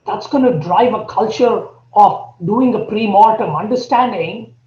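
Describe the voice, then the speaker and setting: A man with an Indian accent, a podcast guest laying out his points earnestly and deliberately. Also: sits high, darkish tone. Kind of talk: podcast interview. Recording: echoey, dull remote audio.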